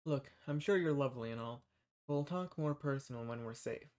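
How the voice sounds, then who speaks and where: A man's voice: mid-range voice, fairly quick, a little mellow, close to an everyday voice. A man playing a folksy undercover rebel among monster hunters in a roleplay audio drama. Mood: friendly, reassuring. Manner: folksy, reassuring. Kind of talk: scripted roleplay audio drama addressed to the listener.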